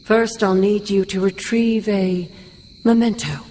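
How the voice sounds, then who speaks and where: A woman's voice: medium pitch, fairly even intonation, measured pace, mellow, slight background noise, close to an everyday voice. An actress playing the ghost of a stern but caring older woman mentor in a video game cutscene, speaking formally and with command. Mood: solemn.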